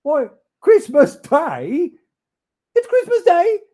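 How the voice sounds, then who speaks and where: A storyteller voicing an elderly former miser, excitable and breathless, stammering through his words. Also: high voice, moderate pace, pitched above the performer's own voice, close to an everyday voice. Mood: giddy. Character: old man, former miser, giddy and overjoyed. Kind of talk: read-aloud storybook voice acting.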